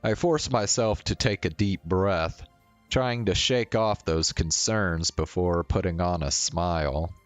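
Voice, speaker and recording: Male streamer for visual novel reading, lowish pitch, unhurried delivery, casual asides, faint game music underneath. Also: no echo.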